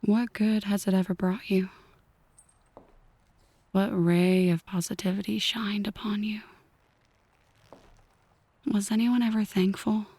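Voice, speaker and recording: Girl doing a possessive mafia boss lady for asmr roleplay, slow breathy whispering, dark mellow tone, softly menacing. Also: medium pitch, little pitch movement, dry recording. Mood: bitter, brooding. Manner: slow, deliberate, intimate address to one listener.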